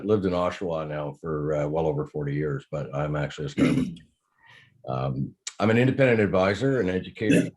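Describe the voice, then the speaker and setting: An older Canadian man, an advisor and editor, speaking in a measured way on a panel. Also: extremely low pitch. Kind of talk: panel introduction.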